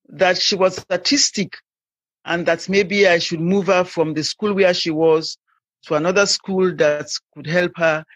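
A woman, sharing her story as an interview guest in a halting, hesitant way. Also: low voice, dry recording. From Sierra Leone. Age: 60s. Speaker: podcast guest. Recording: dull lo-fi audio.

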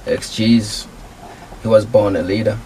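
Producer guy chatting on radio, low voice, chill, bit far from the mic. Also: unhurried, a little hiss or hum. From South Africa. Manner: relaxed, laid-back slang, laughs easily. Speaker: guest music producer and artist. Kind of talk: casual interview talk.

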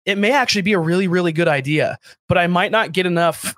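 Chatty young American man, fairly high voice. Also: quick pace, fairly bright tone, no echo, studio quality. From United States. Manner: chatty, self-deprecating, many fillers and restarts. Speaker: podcast guest, startup founder. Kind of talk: casual interview talk.

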